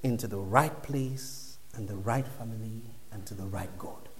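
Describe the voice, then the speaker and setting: A man's voice: on the low side, normal speed. A man from Nigeria in his thirties giving a personal faith testimony to a congregation, in a reflective, conversational way. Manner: reflective, conversational, drops to near whispers. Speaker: church speaker giving a testimony. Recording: echoey hall with long reverb.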